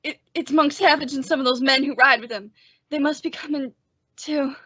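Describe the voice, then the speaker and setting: Woman acting a meek boyish sheriff, sharp high voice, anxious stammer, dry slightly dull recording, old-time radio western. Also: fairly even intonation, normal speed, no echo. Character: timid, bookish young male sheriff, nervous insect collector.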